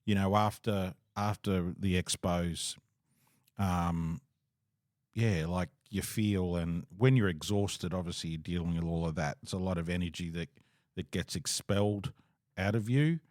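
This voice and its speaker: A man's voice: low voice, measured pace, darkish tone, dry recording, clean studio sound. A man hosting a casual podcast interview, rambling with lots of fillers and frequent laughs, talking over a call. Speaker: podcast host.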